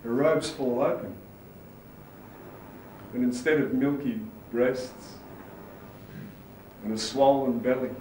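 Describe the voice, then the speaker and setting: A haunted, unhinged sailor telling his story on stage. His voice sits high and swings widely, with dramatic, intense delivery. It is heard from a distance in an echoey theatre. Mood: mesmerised horror. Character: mad, haunted sailor confessing a childhood near-drowning. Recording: echoey theatre, distant mic, faint noise.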